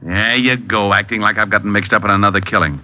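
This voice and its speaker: A man's voice: fairly low pitch, fairly even intonation, quick pace, sharp tone. A man playing a breezy, teasing private detective, glib and mock-offended, in an old-time radio show. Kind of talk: 1940s radio detective acting. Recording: dull old radio recording.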